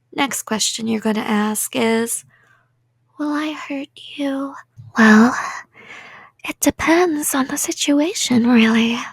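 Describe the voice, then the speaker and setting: A woman's voice: a little high, husky, no room sound, heavily acted. A woman playing an obsessed young yandere kidnapper in an intimate ASMR roleplay, rambling coyly and sweetly with menace. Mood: softly threatening. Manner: rambling, giggly, coy, threatening in a sweet tone.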